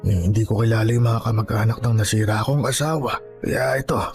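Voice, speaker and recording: A man's voice: on the low side, fast talker, vocal fry, darkish tone, soft background music. Humble old Filipino grandfather vendor. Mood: sorrowful, resigned. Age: elderly. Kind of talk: Tagalog radio drama acting.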